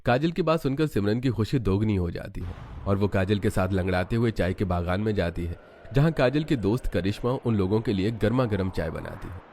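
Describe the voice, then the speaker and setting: Guy narrating a cartoon story, lowish voice, bit of background noise. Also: animated, fairly quick, dark tone.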